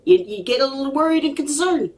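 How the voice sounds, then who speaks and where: A woman's voice: high voice, expressive, fast talker, a little mellow. A woman telling a personal story as a psychic medium, dramatically and emphatically, repeating phrases for weight.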